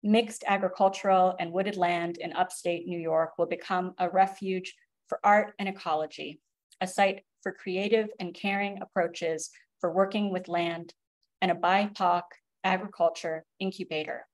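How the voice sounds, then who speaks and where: A woman reading a prepared project statement in a measured, formal way on a video call. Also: fairly low pitch, fairly even intonation. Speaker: artist presenter.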